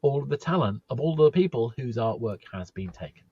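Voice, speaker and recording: A man hosting a podcast interview, talking in a thoughtful, halting way and often repeating words as he starts a sentence. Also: medium pitch, lively intonation, dark tone, breathy edge, dry recording, lo-fi sound. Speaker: podcast host. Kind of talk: casual conversational interview.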